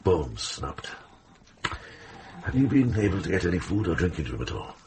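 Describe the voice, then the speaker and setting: An actor playing a shrewd, authoritative monk healer in a period radio drama, briskly questioning and giving orders. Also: male voice, deep voice, very animated, background noise. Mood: assessing, authoritative. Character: shrewd medieval monk healer and investigator, commanding.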